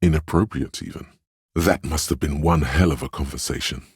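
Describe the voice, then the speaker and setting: Super low husky game narrator, gentle inner empathy voice, solemn n intimate, real dry close sound. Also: male voice, no room sound, heavily acted. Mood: tender, perceptive. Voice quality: very deep, gravelly, husky. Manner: measured, intimate, solemn, literary delivery. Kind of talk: dramatic scripted narration in a role-playing game.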